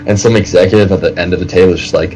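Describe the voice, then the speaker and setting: A man co-hosting a podcast, riffing casually in a halting, joking way. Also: sits low, steady tone, darkish tone, soft background music, rough recording. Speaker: podcast co-host. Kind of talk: casual, joking panel chat.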